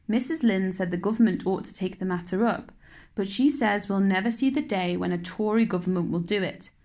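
A woman voicing an eager, chattering young girl who rushes her words and pleads. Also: medium pitch, a little mellow, lightly acted. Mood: anxious. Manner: breathless, rushing, pleading.

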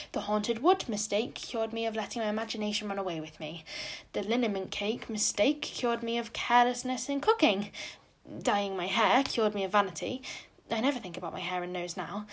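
A woman's voice: mid-range voice, close to an everyday voice. A woman voicing a spirited, imaginative teenage girl who speaks earnestly and dramatically.

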